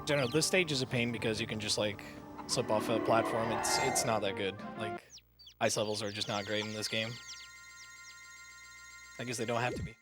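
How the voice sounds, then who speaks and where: A man's voice: lowish voice, steady tone, brisk. A man giving casual co-commentary at a live gaming marathon. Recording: loud game music behind the voice.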